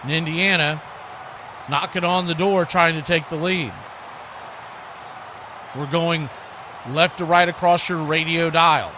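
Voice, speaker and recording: Guy doing live football play-by-play for radio, voice a bit high, noisy stream audio. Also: fairly even intonation, a little slow, pauses often. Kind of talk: live American football commentary.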